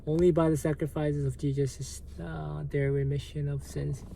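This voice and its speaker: A man with a Korean accent, a native Korean speaker, hosting a livestream and answering viewer comments in a halting, hesitant way with lots of fillers. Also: a little high, little pitch movement, vocal fry, dark tone, slight background noise. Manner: slow, halting, many fillers and restarts. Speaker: livestream host.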